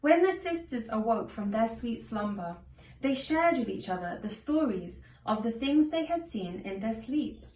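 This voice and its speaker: A woman narrating a children's storybook aloud in a measured, deliberate storytelling manner. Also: fairly high pitch, animated, darkish tone. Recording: echoey room, distant from microphone.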